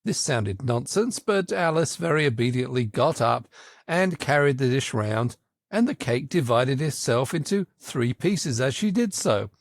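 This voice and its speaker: A man narrating a children's story in an expressive, theatrical audiobook style. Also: medium pitch, unhurried, no room sound, clean studio sound.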